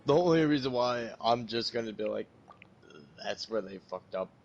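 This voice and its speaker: A man's voice: mid-range voice, lively intonation, moderate pace, highs cut off, soft background music. A man chatting casually as a friend joining in on a game stream. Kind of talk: casual chat.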